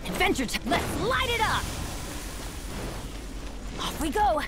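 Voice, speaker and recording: Very high, spirited young adventurer. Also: female voice, big pitch swings, slow, very noisy, heavily acted. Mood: eager. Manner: short dramatic exclamations. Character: spirited youthful anime game adventurers calling out battle cries. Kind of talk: video game battle barks. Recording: game audio under loud music. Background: loud video game music.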